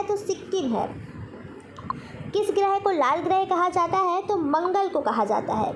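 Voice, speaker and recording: Indian lady teacher, really high voice, doing question and answer exam lesson, bit of background noise. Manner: instructional, asks each question then answers it, repeats key points. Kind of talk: general knowledge quiz lesson for exam students.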